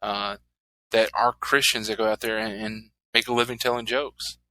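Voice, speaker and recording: Very bright-toned male podcast host. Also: lowish voice, normal speed. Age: 30s. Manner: relaxed, rambling with fillers. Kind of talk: casual podcast interview.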